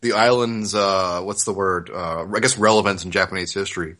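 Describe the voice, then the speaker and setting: A man with a fairly low, bright and forceful voice. He talks as a podcast co-host in casual discussion, picking things apart in an analytical, emphatic way. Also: steady tone, fairly quick. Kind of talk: casual discussion of a comic series.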